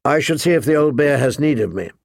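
A man's voice: mid-range voice, expressive, quick pace, creaky, no room sound. A man narrating an audiobook, voicing an anxious, guarded, loyal young man in an earnest, reluctant manner.

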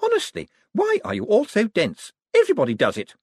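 A man's voice: high voice, huge pitch swings, brisk, darkish tone, slightly rough, no room sound, studio quality, voice pushed up, exaggerated voice. A man voicing a vain, petulant rich woman in an audiobook, chattering in a gushing, dismissive, self-righteous manner. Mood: gleeful, defiant. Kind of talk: audiobook character acting.

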